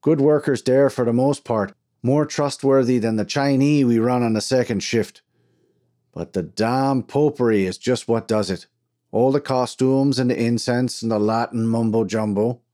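A male narrator plays a gruff mine foreman from an adventure audiobook. He is blustering and exasperated, pitched up from plain narration and pushed hard in volume, with a short temper and a habit of cursing. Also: fairly even intonation, moderate pace, mellow, natural voice. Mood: scornful. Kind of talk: dramatized audiobook character.

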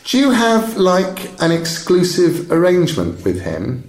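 A man's voice: high-pitched, wildly swooping pitch, a little slow, reverberant, pitched above the performer's own voice, full character voice, low-quality recording. A man voicing a shrewd, unembarrassed teenage schoolgirl with an English accent and a direct, colloquial way of talking, in an audiobook. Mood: direct, unapologetic.

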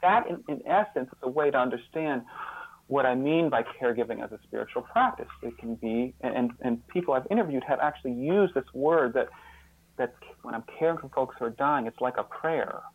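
A man speaking as an interview guest, explaining his ideas in a reflective, earnest way, often halting and repeating words. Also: mid-range voice, lively intonation, slightly rough, dry recording. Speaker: interview guest and author on caregiving. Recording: slightly phone-like, band-limited line.

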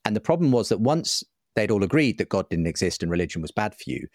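A middle-aged man with a low voice speaks as an interview guest. He explains things reflectively and earnestly, in articulate, discursive sentences, and the sound is dry and close. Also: very animated, quick pace, no echo. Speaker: interview guest, writer and broadcaster.

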